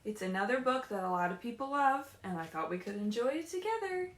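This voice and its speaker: A woman leading a story time for young children as a librarian, animated and encouraging, often putting questions to the kids, speaking from a room at home. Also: on the high side, fairly quick. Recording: roomy, echoey home livestream.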